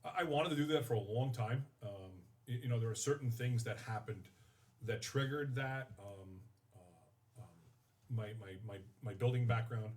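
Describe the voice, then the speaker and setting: A middle-aged man, talking candidly as an interview guest in a halting way, repeating words as he looks for phrasing. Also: medium pitch, a little mellow. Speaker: guest, firefighting instructor and training officer. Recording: voice slightly distant from the mic.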